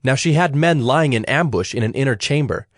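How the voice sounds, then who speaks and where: A man narrating dramatized scripture in a measured storytelling manner. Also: medium pitch, expressive, no echo. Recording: dry studio with music underneath. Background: music.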